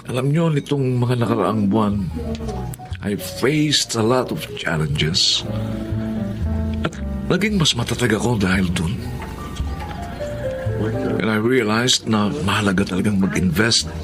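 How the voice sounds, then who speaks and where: An actor playing an earnest, dutiful grown-up youngest child in a Filipino radio drama, speaking deliberately. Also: male voice, lowish voice, lively intonation, music in the background. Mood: confident, sincere. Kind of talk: Tagalog radio drama acting with English phrases.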